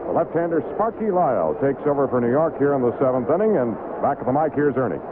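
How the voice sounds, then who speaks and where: A man with a mid-pitched voice works as a baseball radio announcer. The sound is narrow and tinny, buried in loud vintage static and ambient noise. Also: very animated, fast. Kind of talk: broadcast announcing and colour commentary. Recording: very noisy, tinny 1970s AM radio.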